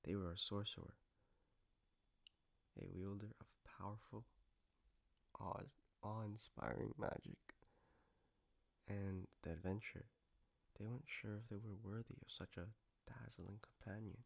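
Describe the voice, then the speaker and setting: A man playing a gentle, doting partner in an intimate comfort roleplay, speaking tenderly and haltingly with a playful touch. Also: on the low side, steady tone, gravelly. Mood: sleepy storytelling. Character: gentle, doting male partner soothing a lover after a nightmare.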